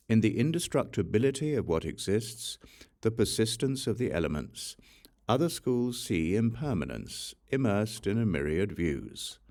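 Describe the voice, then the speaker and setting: Deep, creaky-voiced male audiobook narrator. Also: darkish tone. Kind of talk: scripted reading of a Buddhist sutra.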